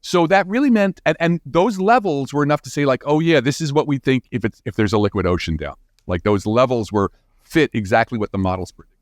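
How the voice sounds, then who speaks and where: A man's voice: medium pitch, lively intonation, brisk, no room sound, studio quality. A man explaining science as an interview guest in an informal, enthusiastic, conversational way.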